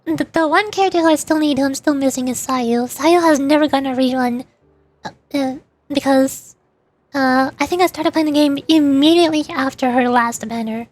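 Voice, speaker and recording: A woman's voice: high-pitched, moderate pace, darkish tone, soft background music. A woman livestreamer chatting casually over a game in a rambling, playful way. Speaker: livestreamer, VTuber. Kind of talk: casual chat while gaming, answering live chat.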